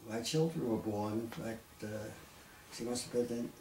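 A man in his nineties from Brooklyn with a Brooklyn accent, recalling his family in an oral history interview, halting and clearing his throat. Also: mid-range voice, a little mellow. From Brooklyn, New York. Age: 90s. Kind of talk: reminiscing interview. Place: living room, seated in armchair. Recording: roomy echo, distant mic, faint hiss.

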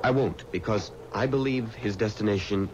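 Man as a low-voiced, superstitious young assistant. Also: moderate pace, close to an everyday voice. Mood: frightened, pleading. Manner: earnest, hesitant, pleading. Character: superstitious, fearful young male undertaker's assistant. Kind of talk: 1970s radio mystery acting. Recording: muffled, noisy old radio recording.

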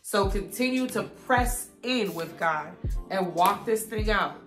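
A young woman vlogging in a casual, encouraging way, her voice hoarse and congested, talking straight to her viewers. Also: medium pitch, lively intonation, takes it slowly, fairly bright tone, reverberant, faint music behind. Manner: encouraging, preachy, addresses viewers directly. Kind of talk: casual faith-filled catch-up talk.

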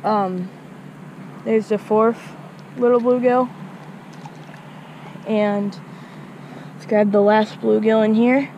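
A woman's voice: fairly high pitch, fairly even intonation, takes it slowly, pauses often, dull audio, a little hiss or hum. A woman casually narrating her fishing outdoors by a small creek, recorded on an action camera, chatty and talking to her viewers. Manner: chatty, repeats words, addresses viewers as guys. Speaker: fishing vlogger.

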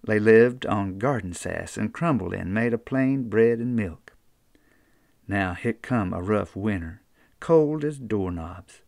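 An older man with an Appalachian accent, reading a children's storybook aloud in an unhurried, folksy way. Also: on the low side, animated, vocal fry, a little mellow, dry recording, clean studio sound. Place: small book-lined room indoors.

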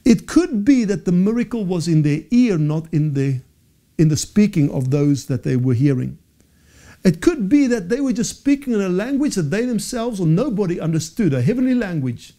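A man's voice: high voice, very animated, moderate pace, mellow. A man in his forties whose first language is Afrikaans, teaching a Bible session to camera in a studio with earnest, gesturing delivery and frequent self-corrections. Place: studio with dark backdrop. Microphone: lapel mic.

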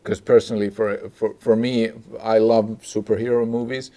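A man discusses film casually as a podcast co-host, in a hesitant, halting way. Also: on the low side, flat delivery, dark tone. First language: Finnish. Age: 40s. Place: small indoor stage with curtains, seated at a table.

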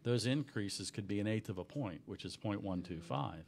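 Slightly hoarse middle-aged man, low-pitched, earnest explainer, desk-mic radio studio with faint hum. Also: expressive, moderate pace, darkish tone. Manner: earnest, explanatory, many restarts. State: a little hoarse. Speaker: mortgage company owner, guest. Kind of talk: explaining on a radio talk show. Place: small radio studio with backdrop. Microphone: desk microphone on boom.

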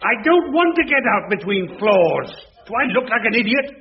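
High, exasperated gentleman MP. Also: very animated, normal speed, creaky, exaggerated voice. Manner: flustered, indignant, formal. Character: exasperated, well-spoken, self-important gentleman MP. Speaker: sitcom actor. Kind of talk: vintage radio sitcom acting. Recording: distant muffled noisy radio recording, studio audience.

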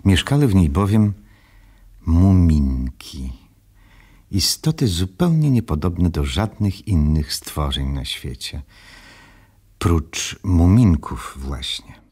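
Very deep, husky male storyteller. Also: very animated, takes it slowly, warm tone. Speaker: narrator. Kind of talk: storybook narration for radio play.

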